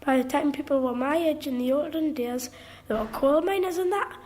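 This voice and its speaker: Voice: sits high, brisk, a little mellow, natural voice. A performer playing an eager, naive working-class schoolboy in a radio drama, with a Geordie accent and an earnest, questioning way of talking.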